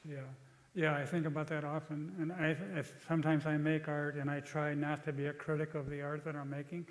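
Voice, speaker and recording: An older man giving a lecture and taking questions, speaking in a thoughtful, halting way with frequent restarts. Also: a little high, monotone, big-room sound, low-quality recording.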